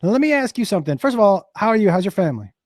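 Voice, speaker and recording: A man in his fifties from Western Massachusetts hosting a casual chat over a video call, his voice hoarse, often stammering and restarting and laughing easily. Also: sits high, very animated, very fast, dry recording, studio quality. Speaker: host.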